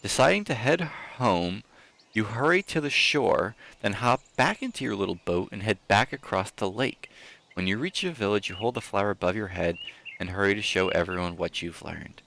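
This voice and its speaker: Deep creaky man reading theatrically. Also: normal speed, slight background noise. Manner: theatrical, expressive delivery. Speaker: streamer host reading aloud. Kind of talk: dramatic story reading with casual asides.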